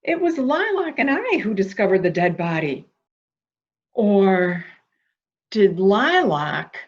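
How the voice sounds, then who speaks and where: A woman's voice: mid-range voice, very animated, takes it slowly. A woman playing a fretful, intense, protective member of a household in a melodramatic mystery reading, in slightly dull sound. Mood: agitated. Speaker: amateur reader, library staff. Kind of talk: dramatic reading of a murder mystery.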